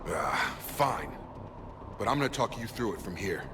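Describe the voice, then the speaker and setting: A man's voice: lowish voice, sing-song, unhurried, noisy background. An actor playing an injured male soldier who is stubborn and speaks in clipped lines. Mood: determined. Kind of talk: video game voice acting.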